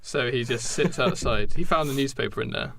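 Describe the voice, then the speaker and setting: Man, noisy recording, gravelly fry, halting delivery, easygoing casual brainstorming, podcast co-host. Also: mid-range voice. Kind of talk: casual improvised story brainstorming.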